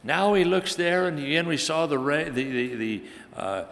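A man from Hungary, preaching a sermon with halting restarts and emphatic scripture quotes. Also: fairly high pitch, expressive, gravelly, fairly bright tone. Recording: long hall reverb.

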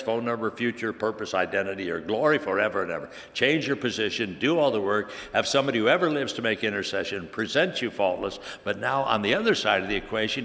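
Preacher in a reverberant hall. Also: male voice, on the low side, pushed and bright. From Hungary. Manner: halting, repetitive restarts, emphatic scripture quoting. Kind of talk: sermon.

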